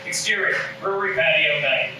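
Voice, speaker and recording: A man's voice: a little high, a little fast, bright, forceful tone. A man reading comic stage directions aloud as narrator at a table read, recorded in a room at a distance. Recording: echoey room, distant mic, noisy.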